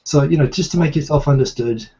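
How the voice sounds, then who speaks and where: A man with a softened New Zealand accent hosting a casual live video chat, talking in halting restarts and repeated words. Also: fairly high pitch, lo-fi sound, noisy background. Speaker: live show host. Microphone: video call.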